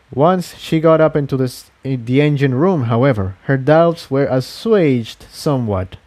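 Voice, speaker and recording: A man's voice: mid-range voice, a little mellow. A man reading fan fiction aloud as an amateur narrator, in scripted storytelling style.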